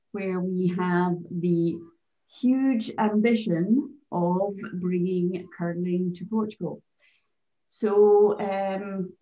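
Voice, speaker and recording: A woman's voice: fairly low pitch, dark tone. A Scottish woman in her fifties introducing herself on a video call, chatty and hesitant with many fillers. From Scotland. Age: 50s. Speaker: curling organizer and life coach. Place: home office with shelves. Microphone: video call webcam. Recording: roomy, dull webcam audio.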